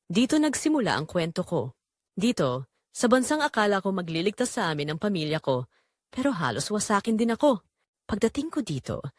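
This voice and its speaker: A woman's voice: fairly low pitch, dry recording, studio quality. A woman with a Filipino accent playing a weary, anguished migrant-worker mother, telling her story in an emotive, confiding audio drama narration. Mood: wistful, sorrowful.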